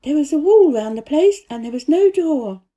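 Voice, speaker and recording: A woman plays a little girl from a storybook, her voice pitched high and lifting expressively. She is prim and direct, curious yet a little cold and lonely. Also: lively intonation, normal speed, warm tone. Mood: certain. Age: child. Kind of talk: storybook character reading.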